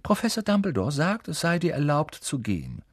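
A man voicing a brisk, strict, fussy matron in an audiobook, with a firm, prim, clipped delivery. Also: on the high side, huge pitch swings, a little mellow, husky, no echo, studio quality, pitched above the performer's own voice, heavily acted. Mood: stern. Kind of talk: audiobook character voice.

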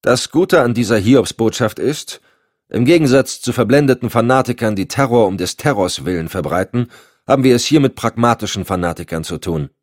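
A man's voice: medium pitch, animated, a little fast, dry recording, clean studio sound, pitched above the performer's own voice, natural voice. A man voicing a curt, sardonic, commanding agency chief with clipped formal speech in an audiobook. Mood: cool, controlled. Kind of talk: audiobook dialogue.

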